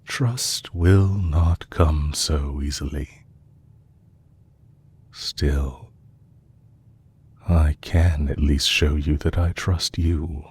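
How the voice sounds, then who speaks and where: A man playing a composed, courteous villain leader who is possessive and lovestruck, speaking formally and deliberately in an intimate romantic audio roleplay. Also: very deep voice, fairly even intonation, a little mellow, slightly rough, exaggerated voice. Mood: sincere, gentle. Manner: formal, deliberate, courtly phrasing with long pauses.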